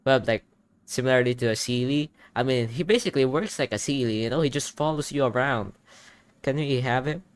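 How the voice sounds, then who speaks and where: A man's voice: mid-range voice, fairly quick, smooth. A young man giving casual, informal gaming reaction commentary, thinking aloud as he goes. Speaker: gaming reaction video host.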